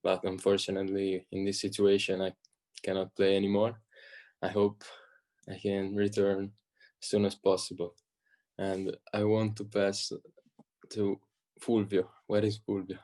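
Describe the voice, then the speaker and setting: A teenage boy, giving a student's introduction on a video call in a steady, flat way. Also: low-pitched, measured pace, pauses often. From Italy. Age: teens.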